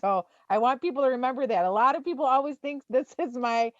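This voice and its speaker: Gentle forty-year-old woman on a video call. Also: high voice, fast talker, dry recording. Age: 40s. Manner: informal, gentle, with many fillers. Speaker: town hall presenter. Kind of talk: online town hall presentation. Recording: dull, dry video-call audio.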